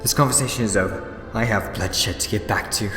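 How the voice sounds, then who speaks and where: A man's voice: fairly low pitch, fairly quick, natural voice. A man playing a scheming, mischievous and theatrical villain in a sci-fi fan audio drama, mocking in manner. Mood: menacing, gloating. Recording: echoey reverberant hall, music underneath.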